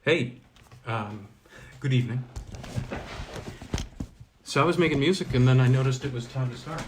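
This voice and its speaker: A man's voice: medium pitch, normal speed, roomy sound, recorded from a distance. A man speaking as an informal storyteller, reading and introducing old tales.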